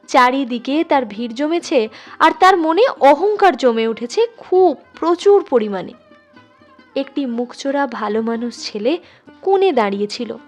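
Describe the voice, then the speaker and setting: Woman reading a story out loud, really high voice, expressive, soft music under it. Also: unhurried. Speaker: storyteller, channel host. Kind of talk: expressive literary story narration.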